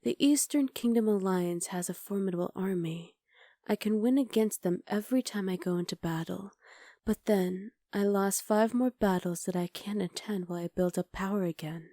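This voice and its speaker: A woman playing a haughty, menacing witch conqueror in an ASMR roleplay, speaking in soft, measured, formal sentences with a hushed, breathy delivery. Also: mid-range voice, dry recording, studio quality, lightly acted. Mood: calculating, proud. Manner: imperious, measured, formal diction.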